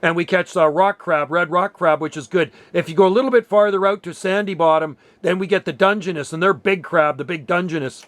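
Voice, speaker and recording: A man with a high-pitched voice chats over amateur radio, sounding close and dry. He is enthusiastic and talkative, telling things in an easygoing, exclamatory way. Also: fairly quick, few pauses, a bit bright, dry recording. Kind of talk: casual radio chat.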